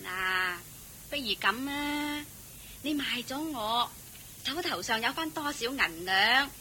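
A woman playing a scornful, scheming young wife in a Cantonese radio drama, sneering and sharp-tongued. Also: fairly high pitch, lively intonation, slow, a little hiss or hum, full character voice. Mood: bitter, contemptuous.

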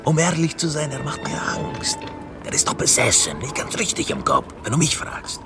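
Uneasy sailor, swooping pitch. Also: male voice, huge pitch swings, moderate pace, over loud music. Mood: fearful muttering. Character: superstitious, frightened male sailor, muttering and suspicious. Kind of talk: radio play acting.

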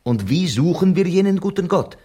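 An actor in a radio play voicing a sober, apologetic interpreter who relays others' words in a measured, deliberate way. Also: male voice, sits high, expressive, mellow, husky. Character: sober, apologetic male interpreter between two quarrelling writers.

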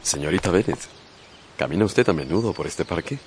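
An actor playing an affable, chatty gentleman colonel in a radio drama, courteous and conversational. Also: sits low, animated, brisk, slight background noise.

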